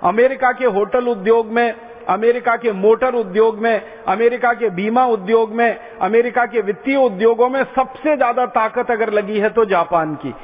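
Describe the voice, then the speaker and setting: A man's voice: very high voice, moderate pace. A man from India giving an impassioned public lecture, driving home each point with repeated parallel phrases. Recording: tinny phone-like sound in a reverberant hall.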